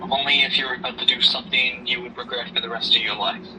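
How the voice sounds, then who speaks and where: A man playing a gentle, protective grieving father in an amateur audio drama, speaking in a halting, reassuring way. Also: mid-range voice, level tone, close to an everyday voice. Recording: noisy hobby recording, distant mic.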